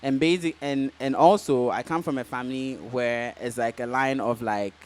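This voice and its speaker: A man with a Ghanaian accent, answering questions as the guest at a live talk. His pitch sits in the middle range, and he speaks loosely and casually, filling his pauses with "um" and "like.". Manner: relaxed, casual, many fillers like "um" and "like". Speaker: guest fashion designer. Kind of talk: interview answers.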